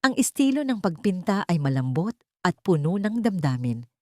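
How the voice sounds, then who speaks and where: A woman's voice: lowish voice, big pitch swings, moderate pace, dark tone, no room sound, studio quality. A woman with a Filipino accent reading a scripted dramatic story as an audiobook narrator.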